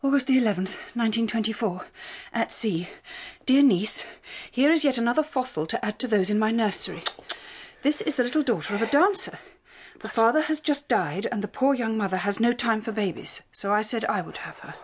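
A woman playing a gentle, affectionate young English guardian in an audio drama, genteel and expressive. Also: fairly high pitch, a little fast, a little hiss or hum, natural voice. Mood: reading aloud. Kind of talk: full-cast radio dramatisation.

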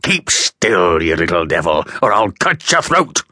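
A menacing, ravenous convict from a storybook. The voice is very low and hard-edged, lurching up and down as it growls threats and snaps orders, recorded close and dry. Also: male voice, sing-song, unhurried, sharp tone, no room sound, exaggerated voice. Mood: fierce threatening. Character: menacing, ragged escaped convict, rough and ravenous.

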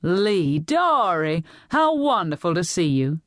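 A woman voicing a gentle grandmother in an audiobook, mildly chiding as the family peacemaker. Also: lowish voice, very animated, takes it slowly, no room sound, clean studio sound. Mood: welcoming. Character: gentle grandmother caught between daughter and granddaughters. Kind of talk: audiobook character voice.

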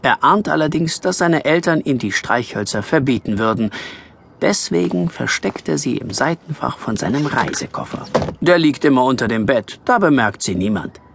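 A man narrating a scripted children's story with lively storytelling delivery. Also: mid-range voice, normal speed, creaky. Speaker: audiobook narrator.

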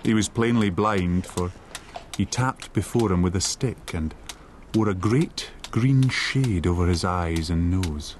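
Earnest young inn lad. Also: male voice, low-pitched, measured pace, a little hiss or hum. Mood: reflective. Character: earnest, polite young innkeeper's lad, anxious but plucky. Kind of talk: radio drama acting and storybook narration.

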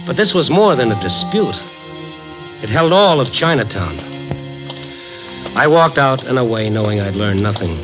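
An actor playing a streetwise, persistent reporter in an old radio noir drama, with a wry, clipped delivery. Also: male voice, medium pitch, big pitch swings, moderate pace, pauses often, lightly acted. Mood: tense, brooding. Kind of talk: 1940s-50s radio noir acting and first-person narration. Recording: muffled old radio recording, music behind.

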